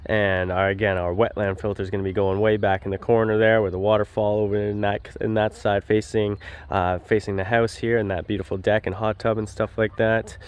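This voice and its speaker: Young male contractor, clean and smooth tone, easygoing explainer with lots of fillers, outdoors on a handheld camera. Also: lowish voice, fairly quick. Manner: relaxed, explanatory, frequent "uh" fillers. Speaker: pond builder. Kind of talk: casual job-site walkthrough. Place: outdoor backyard construction site. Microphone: handheld wide-angle camera at arm's length.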